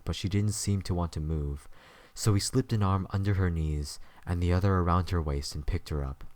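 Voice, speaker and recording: Guy narrating a story, really deep voice, no room sound, scripted read. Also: darkish tone, no room sound.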